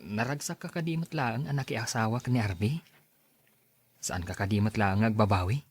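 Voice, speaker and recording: Man acting a husky, jealous rejected suitor. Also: fairly low pitch, moderate pace. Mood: needling. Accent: Ilocano Filipino. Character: pushy, jealous rejected young male suitor. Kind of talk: Ilocano audio drama acting.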